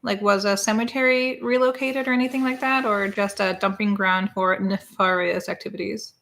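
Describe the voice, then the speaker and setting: Bright, chatty woman co-host. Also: mid-range voice, steady tone, normal speed, gravelly. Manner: chatty and rambling, spelling words out. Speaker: co-host of a live watch party stream. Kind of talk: casual live-stream chat reading viewer comments.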